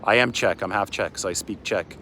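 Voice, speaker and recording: Male, American, low-pitched, casual sports livestreamer on the move, faint city and traffic noise. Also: fairly quick, sharp tone. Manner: rambling, chatty asides while on the move. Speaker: sports reporter hosting a livestream. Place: outdoors on city streets by a river at dawn.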